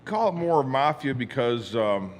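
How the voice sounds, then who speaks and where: A man's voice: mid-range voice, very animated, unhurried, a little hiss or hum. A man from Western New York, a metal musician guest, talking casually and rambling with lots of "you know". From Western New York, USA. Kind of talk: casual interview chat.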